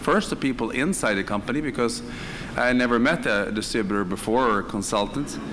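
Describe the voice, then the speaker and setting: A man from northern Sweden with a Swedish accent, telling his story on a conference panel in a hesitant, pausing way. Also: mid-range voice, fairly bright tone, in a large hall. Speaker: conference panelist, direct selling executive. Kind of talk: unscripted personal storytelling on a panel. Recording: live conference room, clear background noise.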